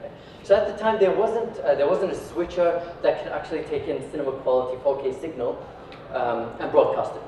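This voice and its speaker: A man's voice: on the high side, brisk, few pauses, warm tone, distant mic. A man from Iraq giving an informal, conversational talk to an audience, often checking in with short tag questions. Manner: conversational, peppers sentences with tag questions like right and yeah. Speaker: speaker giving a talk, company founder. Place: echoey indoor venue, recorded at a distance. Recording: echoey room from afar, dull, faint noise.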